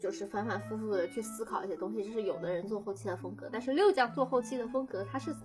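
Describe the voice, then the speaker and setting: A woman in a casual chat with colleagues, speaking in an expressive, teasing way. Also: mid-range voice, racing, music in the background. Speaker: production team member.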